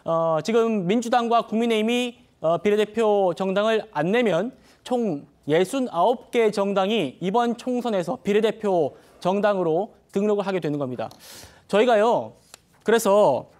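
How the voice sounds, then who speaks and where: A man anchoring a TV news talk show in a studio, with a measured and formal presenter delivery. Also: high-pitched, a little mellow.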